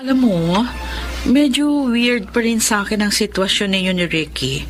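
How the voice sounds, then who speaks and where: Animated, nosy woman friend played by an actress. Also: mid-range voice, normal speed, natural voice. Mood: suspicious, insistent. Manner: insistent, gossipy. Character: nosy, suspicious close female friend. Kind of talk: Tagalog radio drama acting.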